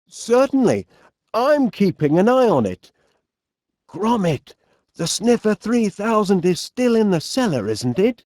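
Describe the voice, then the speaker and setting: A high-voiced, middle-aged Northern English inventor from a cartoon comedy game. His delivery swings up and down with a mellow tone, and he sounds cheerful, fussy and absent-minded as he politely bumbles along. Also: no room sound, studio quality, full character voice. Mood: reassuring, chatty. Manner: bumbling, polite, musing aloud. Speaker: video game voice actor. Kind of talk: animated comedy game acting.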